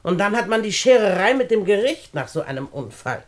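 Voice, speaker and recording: An actress playing a wealthy, condescending older aunt in a German radio play, speaking standard German in a deliberate, haughty manner. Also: female voice, medium pitch, big pitch swings, close to an everyday voice. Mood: fussy, brisk. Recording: studio radio drama recording.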